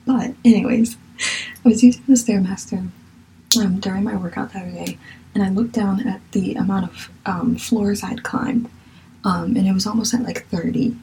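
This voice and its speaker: A young Southern woman hosts a podcast in a casual, candid way, swearing freely and sometimes dropping to a near whisper. Her voice is warm and mellow, with a gravelly fry running through it. Faint noise hums behind her. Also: mid-range voice, steady tone, moderate pace, rough recording. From Virginia, US. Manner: chatty and candid, swears casually, laughs at herself, drops to a whisper at times. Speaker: podcast host. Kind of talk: casual spiritual chat.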